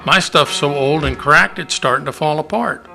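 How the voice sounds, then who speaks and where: A man's voice: on the high side, normal speed, creaky, music in the background. A man playing a harried, pushy cattle-drive cowboy with folksy Old West speech in an amateur radio play. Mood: worried, frustrated.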